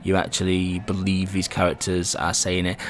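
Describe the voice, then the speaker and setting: A man's voice: low voice, completely flat delivery, moderate pace, slight background noise. A man hosting a casual video game review, talking in a conversational, loosely rambling way. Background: music.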